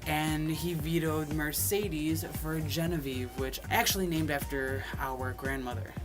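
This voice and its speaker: A man's voice: on the high side, steady tone, unhurried, music in the background. A young man in his twenties chatting casually to camera, reading questions aloud and answering them, recorded on a phone. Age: 20s. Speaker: vlogger.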